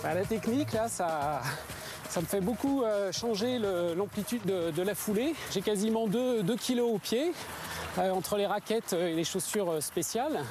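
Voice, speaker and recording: A man's voice: high voice, animated, normal speed, over music. A male runner talking in an interview clip, hesitant, with fillers. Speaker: marathon runner.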